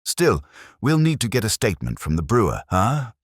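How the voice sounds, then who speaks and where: A man voicing an earnest, slightly slow police sergeant who sounds eager and a little flustered. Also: fairly low pitch, huge pitch swings, husky, no echo, clean studio sound, pitched above the performer's own voice, heavily acted.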